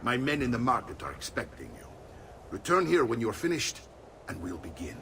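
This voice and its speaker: An actor playing a jovial, blustering middle-aged Italian uncle in a video game, with an Italian accent and a hearty, theatrical manner. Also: male voice, medium pitch, big pitch swings, normal speed, pushed and bright, slight background noise. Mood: genial. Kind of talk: video game cutscene acting.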